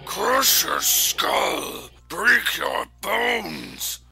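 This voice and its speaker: Gravelly, childlike raging brute. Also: male voice, high-pitched, very animated, bright, forceful tone, faint music behind, full character voice. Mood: enraged. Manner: slow, simple broken phrases, growling threats. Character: childlike, rage-filled hulking madman begging for medicine. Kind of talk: superhero fan-dub acting.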